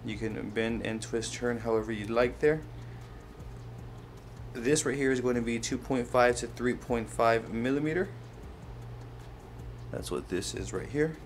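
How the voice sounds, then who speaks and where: A man's voice: medium pitch, a little slow, long pauses, soft background music. A man hosting a casual hands-on how-to video, explaining things step by step as he handles them. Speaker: host of a how-to product video. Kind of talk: casual hands-on tutorial narration.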